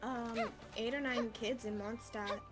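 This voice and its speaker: A woman's voice: fairly high pitch, fairly even intonation, unhurried. A woman hosting a game livestream, chatting casually. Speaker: livestream host. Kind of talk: casual gaming chat. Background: clear game music.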